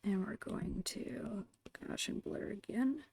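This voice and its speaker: A woman murmuring casual ASMR painting commentary in a whispery, creaky voice, unhurried and thinking aloud, close to the mic. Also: medium pitch, a little mellow. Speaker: ASMR digital art streamer. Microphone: close microphone, dry.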